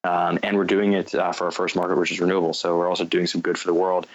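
Low-voiced American man, even delivery. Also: quick pace, lo-fi sound. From North Carolina, United States. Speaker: guest, fintech company founder. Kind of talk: interview answers, explaining business.

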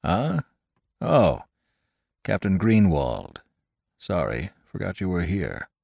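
A man voicing a weary, melancholy company president with a measured, restrained way of talking. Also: deep voice, wildly swooping pitch, vocal fry, darkish tone, breathy edge, dry recording, clean studio sound, full character voice. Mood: distracted.

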